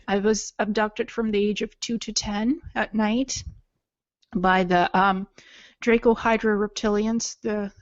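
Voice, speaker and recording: A woman's voice: medium pitch, dry recording, highs cut off. A woman from Ukraine giving unscripted personal testimony in an earnest, matter-of-fact manner. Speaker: self-described alien contactee telling own story.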